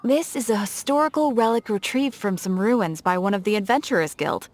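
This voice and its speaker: A woman's voice: mid-range voice, a little fast, a little hiss or hum, natural voice. A woman voicing a gushing, bubbly shopkeeper. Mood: friendly. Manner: bubbly, effusive saleswoman. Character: gushing female souvenir shopkeeper, eager fan. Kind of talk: video game voice acting. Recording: faint game music behind.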